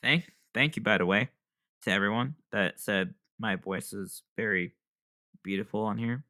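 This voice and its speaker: A man's voice: fairly low pitch, normal speed, bright, forceful tone. A young man hosting his own podcast, talking in a rambling, self-deprecating way and laughing often. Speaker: podcast host. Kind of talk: casual solo podcast chat.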